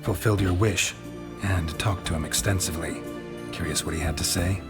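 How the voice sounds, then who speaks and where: An actor with an American accent playing a gruff, laconic monster hunter in a video game, terse and deadpan. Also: male voice, sits low, fairly quick, loud music behind the voice, close to an everyday voice. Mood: dry.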